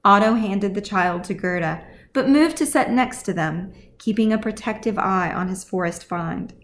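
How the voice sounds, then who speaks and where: A woman's voice: medium pitch, moderate pace. A woman reading scripted fantasy fiction as audiobook narration, as the author of the story.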